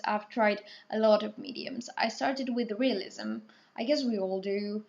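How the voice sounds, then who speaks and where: Slow-talking young woman artist, non-native English. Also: mid-range voice, creaky, muffled recording. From Portugal, raised in Spain. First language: Spanish or Portuguese. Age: 20s. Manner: rambling, self-correcting, hesitant with ums. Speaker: artist, channel host. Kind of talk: casual talkthrough over painting.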